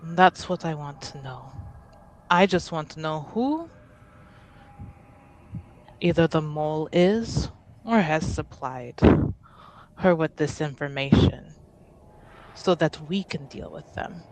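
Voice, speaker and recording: A woman playing a guarded, formal envoy of a noble house in a tabletop game, speaking deliberately. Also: deep voice, animated, darkish tone, poor audio. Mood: controlled indignation.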